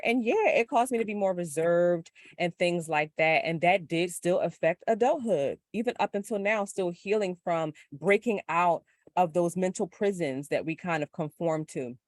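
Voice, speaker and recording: Low gritty-voiced woman, reflective chat. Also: normal speed, dry recording, clean studio sound. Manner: reflective, animated, lots of filler words. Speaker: podcast guest. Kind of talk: casual spiritual chat.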